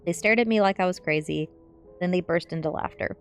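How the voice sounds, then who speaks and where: A woman narrating a teen story aloud in an expressive audiobook style. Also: on the low side, brisk, smooth, soft background music. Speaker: streamer reading a story aloud.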